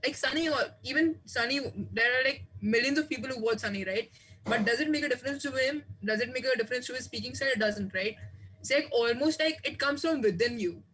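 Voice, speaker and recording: Earnest young Hindi-speaking student, bright tone. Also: male voice, very high voice, quick pace, low-quality recording. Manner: earnest, encouraging, frequent restarts. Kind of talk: sharing advice on a video call. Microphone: headset on video call.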